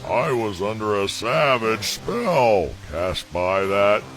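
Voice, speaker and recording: A man voicing a proud, brooding exiled dragon in an animated film, speaking in a dry, grandiose, theatrical way. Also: lowish voice, takes it slowly, full character voice. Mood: bitter, aggrieved. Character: banished male dragon, gruff, brooding, proud of his might.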